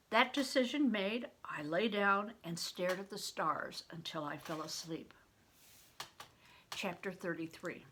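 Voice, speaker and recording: An older woman reading a children's novel aloud as expressive storytelling narration. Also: lowish voice.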